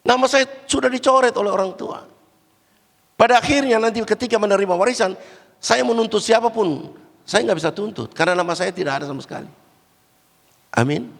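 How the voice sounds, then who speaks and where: A man preaching a Pentecostal sermon while fighting a cold and cough, swinging between loud, raised exhortation and low, quiet asides. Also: a little fast, creaky, pauses often. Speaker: guest preacher. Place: large church hall. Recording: church hall with long reverb.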